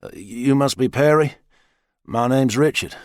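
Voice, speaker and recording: A man's voice: mid-range voice, a little slow, darkish tone, no echo, studio quality, pitched above the performer's own voice, lightly acted. A man voicing a courteous, hesitant young ex-army police officer in an audiobook. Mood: uneasy, hesitant.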